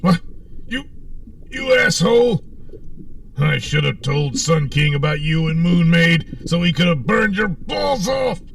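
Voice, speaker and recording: A man's voice: fairly high pitch, animated, a little slow, vocal fry. A man playing a pompous, hapless armored superhero in a comic audio serial, boastful and melodramatic, easily exasperated, recorded distantly with noise behind him. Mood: indignant. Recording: distant, roomy sound with background noise.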